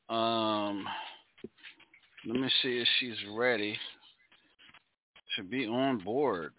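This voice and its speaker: A man hosting a radio show, who chats with his guest in an easygoing, flattering way. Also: medium pitch. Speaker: radio DJ and host. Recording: tinny phone-like sound.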